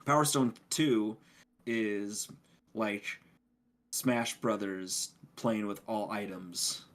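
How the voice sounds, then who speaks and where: A man's voice: fairly low pitch, steady tone, very slow. A man talking casually as a podcast co-host, explaining things in a rambling way. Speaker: podcast co-host reviewing video games.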